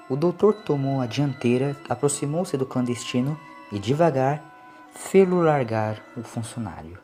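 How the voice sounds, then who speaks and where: A man's voice: mid-range voice, warm tone. A man narrating a scripted dramatic story. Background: faint music.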